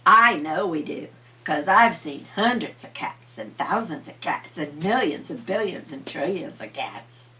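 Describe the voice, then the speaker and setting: An older woman voicing a folksy, excitable, fond little old man in a bedtime story. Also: low-pitched, a little slow, recorded from a distance, slight background noise, pitched below the performer's own voice, full character voice. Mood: content. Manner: exclaiming, folksy, emphatic.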